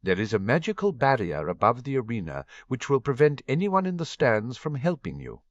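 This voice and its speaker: Man playing cheerful middle-aged official, brisk announcing, lively pitch, dull lo-fi audio. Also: no echo. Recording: dull lo-fi dry recording.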